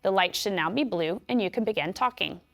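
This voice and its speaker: A woman with an American accent giving scripted instructions in a clear, measured way. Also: mid-range voice, animated, a bit bright. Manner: clear, measured explanatory delivery. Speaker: instructional video narrator.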